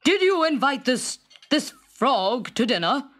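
A stern royal father in a storybook, put on by a woman, booming and deliberate. The voice swings widely in pitch with grave authority. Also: very animated, a bit bright, heavily acted. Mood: stern questioning. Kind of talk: storybook character acting.

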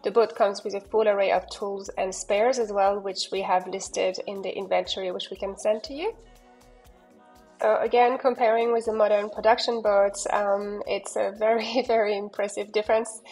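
A woman's voice: medium pitch, steady tone, moderate pace, darkish tone, faint music behind. A woman giving an enthusiastic walkthrough of a sailing yacht as a broker, talking loosely with fillers and restarts. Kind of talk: walkthrough narration.

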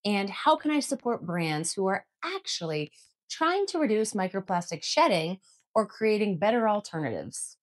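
A woman's voice: mid-range voice, sing-song, moderate pace. A woman in her thirties giving an earnest, emphatic scripted explainer to camera from a room at home. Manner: earnest, emphatic, gestures with both hands. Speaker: outdoor vlogger. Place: home room with bookshelves, indoors.

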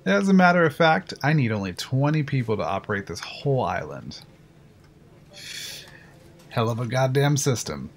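A man's voice: mid-range voice, sing-song, slight background noise. A man voicing a blunt, confident male park engineer explaining the control room in an audio drama. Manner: brisk, matter-of-fact, a little cursing. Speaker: voice actor. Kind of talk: fan audio drama acting.

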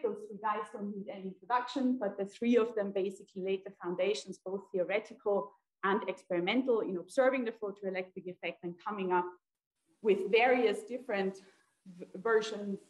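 A woman's voice: medium pitch, brisk. A woman from Austria, a native German speaker, giving a conversational academic seminar with informal, self-deprecating asides. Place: indoor seminar room with projection screen. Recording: echoey lecture room.

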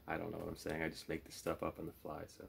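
A man's voice: a bass voice, monotone, fairly quick, vocal fry, breathy edge. A man in his thirties hosts a homemade puppet show in an easygoing, improvised way. He talks casually to the camera from a small room, playing the straight man. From lives in Florida, United States. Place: small home room, indoors.

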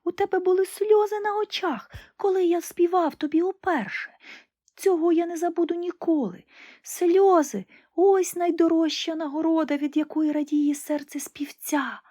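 A tiny, wise nightingale, as a woman reading the tale puts him on, pitched far above her usual voice. He speaks softly and airily, with a gentle, breathy lightness. The recording is close and dry. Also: moderate pace, no echo. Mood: tender. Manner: soft, high and airy.

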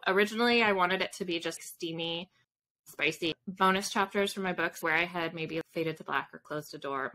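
Female author guest, thirties, lower register, casual and chatty, faint noise on the call. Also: fairly bright tone. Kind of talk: casual chat. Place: indoors in a room with bookshelves, on a video call.

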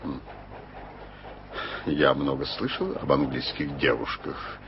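A very deep voice for a powerful prince and statesman, measured and ironic, with a lively up-and-down delivery. It comes from an old radio play recording that sounds dull and carries clear tape hiss. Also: heavily acted. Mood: stern. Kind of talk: 1980s radio play acting.

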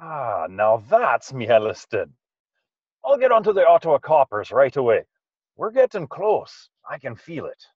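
A man's voice: mid-range voice, very animated, moderate pace, breathy edge. A man playing a loyal, folksy sidekick in a period radio mystery, speaking colloquially, with emphatic encouragement. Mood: encouraging. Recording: dull, echoey video call sound.

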